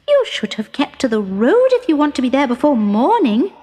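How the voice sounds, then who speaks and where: Storybook casting: playful young woodland sprite boy voiced by a woman, higher-pitched, lilting, animated. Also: moderate pace. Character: lively young dancing boy of the woods, playful.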